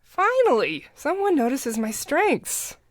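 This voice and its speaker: An exasperated teenage girl camp counselor, played by a woman, with a highish, very animated voice. She is sarcastic and organized, landing dry lines crisply in a comedy sketch. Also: slow pace, no echo, heavily acted. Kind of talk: comedic audio sketch acting.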